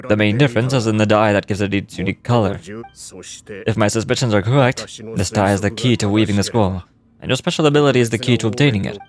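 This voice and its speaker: A man's voice: lowish voice, lightly acted. Formal scholarly man acted out by a male reader. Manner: formal, measured, expository. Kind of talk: reading game quest dialogue aloud. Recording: music playing behind the voice.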